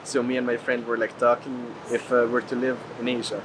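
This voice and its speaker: Man, from Hungary, traveler interviewee, casual chat, filler-heavy rambling, medium pitch, noisy surroundings. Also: monotone, quick pace. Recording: clear ambient street noise.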